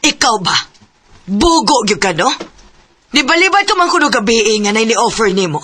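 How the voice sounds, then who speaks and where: A woman's voice: fairly high pitch, very animated, moderate pace, fairly bright tone, slight background noise. A woman playing a harsh, greedy middle-aged stepmother who scolds and bullies in a radio drama, with a Cebuano Filipino accent. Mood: angry scolding. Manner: scolding, demanding.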